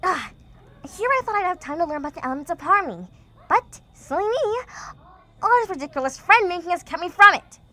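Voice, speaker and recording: A woman's voice: very high-pitched, sing-song, fairly quick, pushed and bright, exaggerated voice. A woman voicing an anxious, bookish, sarcastic young pony in a cartoon fandub. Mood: exasperated. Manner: fretful and sarcastic.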